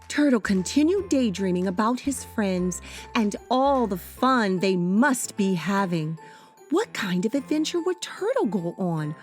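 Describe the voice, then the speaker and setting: A woman reading a children's picture book aloud in an expressive storytelling style. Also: sits high. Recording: children's music under the voice. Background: children's music.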